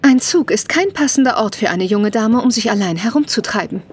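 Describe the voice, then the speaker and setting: An actress playing a strict, prim city aunt with a stern, scolding manner in a children's audio drama. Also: female voice, on the high side, lively intonation, brisk, husky. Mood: disapproving.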